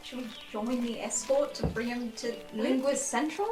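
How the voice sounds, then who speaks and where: A woman's voice: a little high, steady tone, normal speed. A woman improvising dialogue as a player in a tabletop roleplaying podcast. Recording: echoey room, clear music behind.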